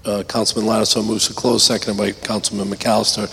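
A man chairing a council public hearing, hoarse and losing his voice, clearing his throat. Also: on the low side, flat delivery, fast, a bit bright. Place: council chamber. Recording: echoey chamber, faint noise.

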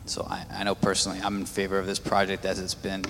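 Low flat guy on the council, kinda bright voice, lots of uhs, echoey room. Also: gravelly. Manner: halting, with many fillers and restarts. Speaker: city councilman. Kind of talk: public meeting remarks. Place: council chamber. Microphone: meeting microphone. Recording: echoey chamber, faint noise.

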